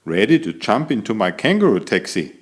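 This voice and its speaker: A man's voice: fairly low pitch, very animated, fairly quick. A man voicing an elegant, vain tomcat who chats theatrically to young listeners while telling a children's picture-book story. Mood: cheerful, flattering. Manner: self-admiring, theatrical, addresses young listeners directly.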